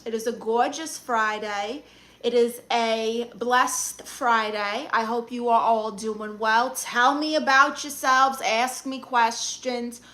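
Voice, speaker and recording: A woman's voice: fairly high pitch, fairly even intonation, slow pace, a bit bright. A woman from Brooklyn, chatting casually on a live stream, greeting viewers and firing back sassy, playful comebacks to their comments. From Brooklyn, New York. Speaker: livestreamer.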